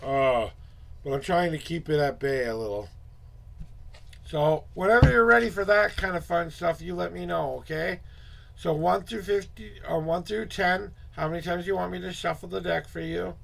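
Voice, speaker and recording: A hoarse man hosting a casual tarot livestream, muttering asides to himself and greeting viewers in a loose, chatty way. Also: sits high, a bit bright. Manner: upbeat, drawn-out greetings to viewers. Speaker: tarot reader and livestream host.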